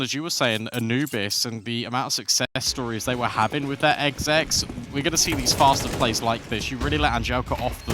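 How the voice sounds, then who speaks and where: A man doing live play-by-play commentary on an esports match, excitable and quick to build with the action. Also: medium pitch, noisy background. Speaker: esports caster.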